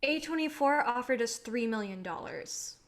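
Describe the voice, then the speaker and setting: Woman acting a firm young film director, highish voice. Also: animated, moderate pace. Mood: guarded, firm. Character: young female indie film director, guarded and assertive. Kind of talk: stage play reading.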